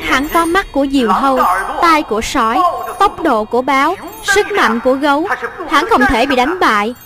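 A woman dubbing characters in an animated children's cartoon. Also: high-pitched, moderate pace. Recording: loud music behind. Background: loud music.

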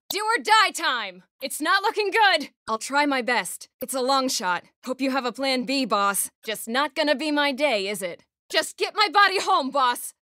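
A woman's voice: sits high, bright, forceful tone, no echo, studio quality, heavily acted. A woman playing a plucky, cheeky young military scout driver in a video game, giving clipped radio-style calls with wry quips. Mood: resigned, wry. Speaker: game voice actor.

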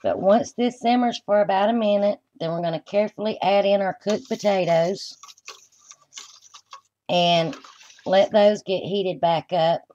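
A woman's voice: medium pitch, measured pace, mellow. Woman cooking, dull noisy recording. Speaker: home cooking show host. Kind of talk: step-by-step cooking demonstration. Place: indoor home kitchen at the stove. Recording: dull lo-fi camera audio, kitchen noise.

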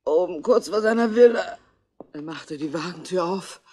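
A woman's voice: fairly low pitch, normal speed, a little mellow, husky. An ailing older bar woman, bitter with jealousy and love. She confesses with anguished, theatrical weight in a vintage radio crime play. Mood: broken confession. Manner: anguished, theatrical, dramatic delivery. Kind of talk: 1960s German radio crime drama acting.

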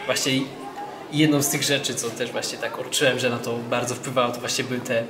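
A man's voice: medium pitch, fairly even intonation, brisk, close to an everyday voice. A young man in a casual conversation in an amateur radio play. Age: young adult. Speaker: audience member giving feedback. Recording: echoey space with crowd noise.

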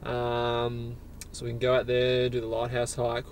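A young man talking to a handheld camera in a relaxed, enthusiastic, casual travel-vlog style, outdoors and in a car. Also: medium pitch, a little slow, a little hiss or hum. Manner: relaxed, enthusiastic, chatty with fillers. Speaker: travel vlogger. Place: outdoors on windy cliffs and inside a car. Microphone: camera-mounted mic, held at arm's length.

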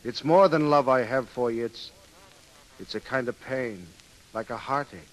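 An actor playing a brash, lovestruck young Irish sailor with an ardent lilt in a 1930s radio play. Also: male voice, mid-range voice, moderate pace, close to an everyday voice. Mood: lovestruck, pleading. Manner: ardent, lilting, boastful. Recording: hissy, noisy 1930s radio broadcast.

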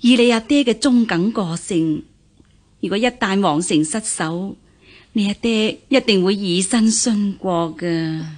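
A woman acting a worried, gentle middle-aged mother of an official household in a Cantonese radio drama, sighing and solicitous. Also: mid-range voice, a little slow, lightly acted. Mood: anxious.

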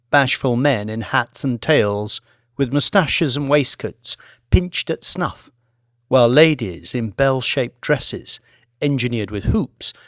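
Male audiobook narrator: dramatic, deliberate phrasing, animated mid-range pitch, dry studio sound. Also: no room sound.

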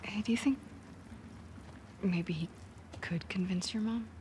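A woman's voice: medium pitch, a little slow, a little mellow, a little hiss or hum, close to an everyday voice. An actress playing a tough, guarded young female soldier in a video game drama, terse and understated. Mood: subdued. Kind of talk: naturalistic video game cutscene acting.

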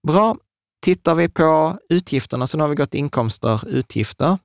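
Thirtysomething guy cohosting a podcast, eager, keeps restarting, bit of fry, dry studio mic. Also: a little high, expressive, no echo. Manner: enthusiastic, restarts and fillers, gestures while talking. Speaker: podcast co-host. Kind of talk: casual explanatory chat. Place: small studio room with curtains and plants. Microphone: large studio mic on a table stand.

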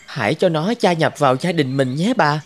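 A man voicing a poor, earnest young herdsman in an animated fairy tale. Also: on the high side, moderate pace, lightly acted. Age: young adult.

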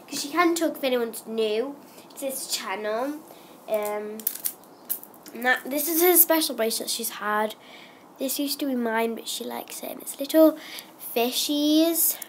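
A woman's voice: sits high, unhurried, a little hiss or hum. A young girl vlogging at home, chatting in a rambling way with lots of ums while she has a cold. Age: child. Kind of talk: casual chatty vlog.

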